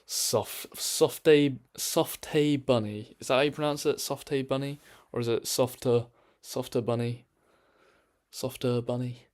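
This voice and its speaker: A man's voice: medium pitch, steady tone, measured pace, a little mellow. A man chatting casually on a live stream from his bedroom, rambling with lots of ums and restarts.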